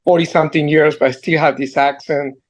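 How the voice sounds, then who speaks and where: An older man with a strong Argentine Spanish accent tells his life story on a video call. He speaks earnestly and stops and restarts his sentences often. His voice sits fairly high. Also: steady tone, fairly quick. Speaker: guest entrepreneur. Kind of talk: storytelling interview. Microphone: computer mic on a video call.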